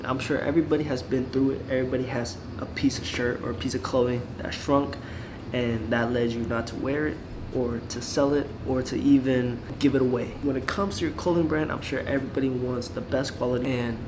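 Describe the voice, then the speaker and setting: A man's voice: mid-range voice, fairly even intonation, fairly quick, noisy background. Sick young guy, laid-back vlog talk. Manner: laid-back, rambling with lots of "like". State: sick, losing his voice. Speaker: clothing brand owner and YouTuber. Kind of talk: casual vlog advice.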